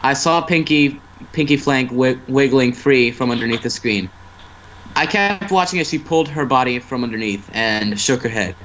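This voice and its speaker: A man's voice: mid-range voice, lively intonation, normal speed, fairly bright tone, a little hiss or hum, rough recording. A man reading a story aloud as an amateur narrator, stumbling over words and restarting phrases.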